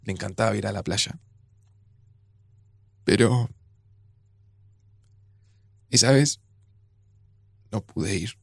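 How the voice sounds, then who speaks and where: An actor playing a grieving, resigned man in an audio drama, speaking Rioplatense Spanish in a subdued, hesitant, gravelly way. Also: on the low side, slow, pauses often, dry recording, studio quality, heavily acted. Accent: Rioplatense Argentine. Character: grieving, resigned widower in a near-future Argentina. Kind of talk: naturalistic audio drama dialogue.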